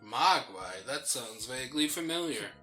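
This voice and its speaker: A man's voice: mid-range voice, animated, a little slow, bright, forceful tone, soft background music. A man co-hosting a gaming show with casual, playful and sarcastic banter about the game.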